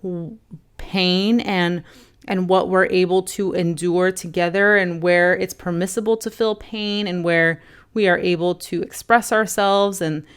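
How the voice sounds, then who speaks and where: A young woman vlogger casually reviewing films to camera, rambling with lots of fillers and restarts, a little hoarse. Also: lowish voice, fairly even intonation, unhurried. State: slightly hoarse. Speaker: film vlogger ranking movies.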